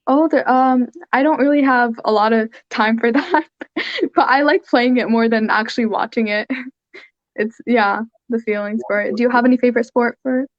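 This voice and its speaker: A woman's voice: on the high side, steady tone, normal speed, dry recording, muffled recording. A teenage girl from Syria, a young artist and interview guest, telling her story earnestly over a video call. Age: teens. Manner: earnest, soft-spoken storytelling with frequent "like". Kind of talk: online interview.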